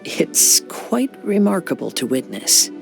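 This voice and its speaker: A man voicing a young wandering samurai in a video game, gentle and serene, speaking in a soft, reflective, poetic manner. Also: fairly high pitch, very animated, takes it slowly, vocal fry, soft background music, heavily acted. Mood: thoughtful, admiring. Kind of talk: video game character voice lines.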